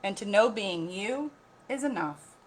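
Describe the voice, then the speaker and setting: A woman's voice: medium pitch, lively intonation, a little slow, breathy edge. A woman voicing a timid young elephant bravely standing up for himself in an earnest, emphatic storybook read-aloud. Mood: earnest, defiant.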